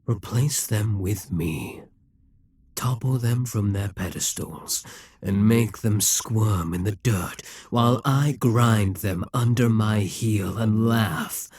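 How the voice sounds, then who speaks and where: A ruthless, possessive demon king, voiced by a man, speaks in a low, husky, unhurried drawl. He sounds calm and commanding, coaxing his listener and sinking into whispers. The audio-roleplay recording is dry and close. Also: no echo. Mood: scheming.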